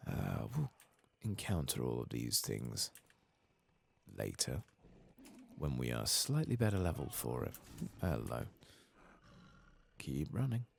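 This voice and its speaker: Male gaming streamer, soft-spoken and half-whispered, gravelly with lively swings in pitch, casually sweary running murmur. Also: slow pace, long pauses, a little hiss or hum. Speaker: streamer playing a video game.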